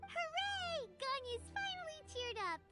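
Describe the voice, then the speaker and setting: A woman voicing a tiny, excitable, childlike floating companion with a squeaky voice in an anime game. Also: measured pace. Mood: excited. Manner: excitable, exclaiming, speaks of self in third person. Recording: music behind the voice.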